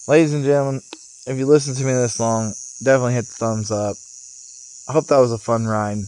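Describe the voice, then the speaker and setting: Guy doing a loose spiritual ramble outdoors, musing with pauses, mid pitch, bugs chirping behind him. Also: fairly even intonation, darkish tone, slight background noise. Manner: casual, musing, phrases broken by pauses. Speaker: vlogger and spiritual guide. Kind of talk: rambling off-the-cuff spiritual monologue. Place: outdoors, sitting in a rocking chair. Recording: outdoor recording with chirping insects. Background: cicadas or crickets.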